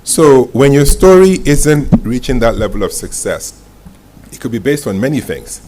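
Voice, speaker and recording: A man takes audience questions during a live talk. His pitch is average and his manner is direct, with some teasing. The recording has clear noise in the room behind him. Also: expressive, moderate pace. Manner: direct, teasing, punctuates points with "right?". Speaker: masterclass speaker. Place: indoor event room with audience.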